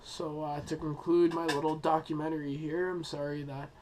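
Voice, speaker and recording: A young man who is sick and losing his voice, giving an unscripted school presentation with many pauses and fillers. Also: on the high side, little pitch movement, crowd noise. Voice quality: hoarse. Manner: hesitant, many ums and uhs, thinking aloud. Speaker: student presenting a school project.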